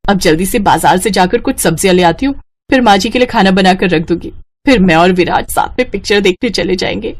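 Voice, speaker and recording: A woman voicing a long-suffering, overworked young daughter-in-law in an animated family story. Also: mid-range voice, fairly quick, close to an everyday voice. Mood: dutiful. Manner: polite aloud, grumbling to herself.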